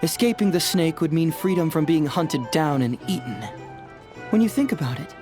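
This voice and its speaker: Breezy, animated young detective played by a man. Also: a little high, over music, lightly acted. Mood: curious, courteous. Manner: chatty, theatrical, courteous, quick to amuse. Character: cheerful, breezy young male detective from a fantasy land. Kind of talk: animated game voice acting.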